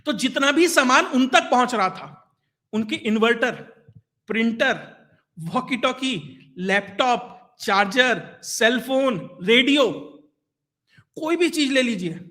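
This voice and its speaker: A man's voice: very high-pitched, animated, slow pace, pushed and bright. A man giving conversational, explanatory news commentary, emphatic, with rhetorical questions and lists. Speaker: commentator and host of an online current affairs show.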